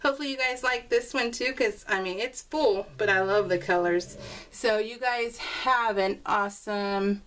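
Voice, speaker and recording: A woman's voice: medium pitch, moderate pace. A woman chatting casually and telling stories in a rambling way as she hosts a craft video, often acting out what people said. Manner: rambling, animated retelling with quoted dialogue; laughs often while talking. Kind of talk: casual chatty storytelling over a scrapbooking process video. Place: indoors at a craft table. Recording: voice distant from the mic.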